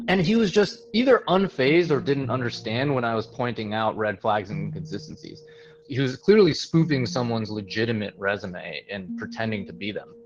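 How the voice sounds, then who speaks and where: A man's voice: medium pitch, highs cut off. A man from the New York area, a guest recounting a job interview in a casual, conversational way with frequent fillers and restarts. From New York area, USA. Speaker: interview guest, tech hiring manager.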